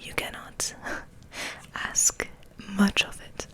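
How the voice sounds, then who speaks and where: Whispering woman, slow and hushed ASMR. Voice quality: breathy whisper. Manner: gentle, hushed, unhurried. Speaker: ASMR host. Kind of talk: ASMR, soft rambling chat and reading aloud.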